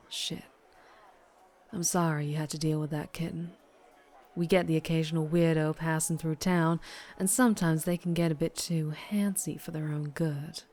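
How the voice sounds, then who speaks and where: A woman's voice: deep voice, a little mellow, close to an everyday voice. A woman playing a flirtatious, doting but fierce vampire biker in an ASMR roleplay, with a Southern US accent and a teasing manner. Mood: concerned, protective. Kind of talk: ASMR roleplay, intimate character monologue.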